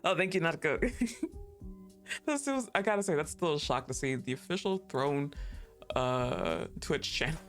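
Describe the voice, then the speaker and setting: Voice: mid-range voice, very animated, moderate pace, a bit bright, soft background music. A laid-back streamer chatting casually on a livestream, a little tired, rambling and laughing often.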